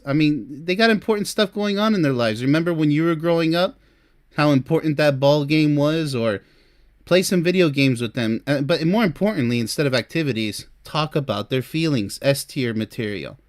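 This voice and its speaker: A man's voice: a little high, lively intonation, moderate pace, no echo, studio quality. A man giving informal relationship advice as a host, chatty and emphatic, speaking straight to the listener. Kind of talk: informal advice talk to camera, ranking topics.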